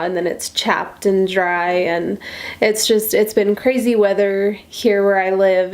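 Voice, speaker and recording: A woman's voice: mid-range voice, a little mellow. Nasal, congested American woman vlogger. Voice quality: nasal, stuffy. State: congested from a cold. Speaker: beauty vlogger. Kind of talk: casual chatty beauty tutorial to camera.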